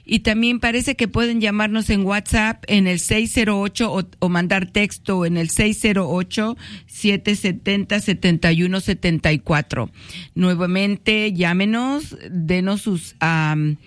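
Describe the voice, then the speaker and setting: A woman's voice: medium pitch, a little slow, no room sound. A woman hosting a casual radio talk show, welcoming and addressing her audience directly in a conversational way. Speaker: radio host. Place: radio studio.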